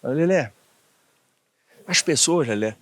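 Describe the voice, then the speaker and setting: A man in his sixties from Bahia with a faded Bahian accent, telling anecdotes in a relaxed, chatty interview and laughing often. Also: on the high side, a little slow, no room sound. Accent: faded Bahian Brazilian Portuguese. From Bahia, Brazil. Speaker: guest, former diplomat.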